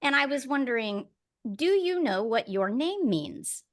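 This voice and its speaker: A woman's voice: sits high, very animated, a little slow. A woman hosting a casual interview, talking in a chatty, informal and joking way. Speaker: podcast host. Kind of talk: fun casual interview chat.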